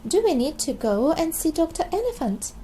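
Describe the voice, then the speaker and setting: A woman acts out an excitable little girl pig for a children's storybook. The voice is high and lively, full of childlike, curious exclamations. There is faint noise behind it. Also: moderate pace, poor audio. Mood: worried, curious. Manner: exclaiming, wide-eyed, childlike.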